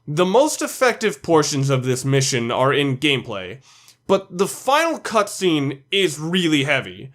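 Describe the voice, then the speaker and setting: A man's voice: on the high side, very animated, unhurried, a bit bright. A man reading scripted commentary for a video game ranking list, blunt and opinionated.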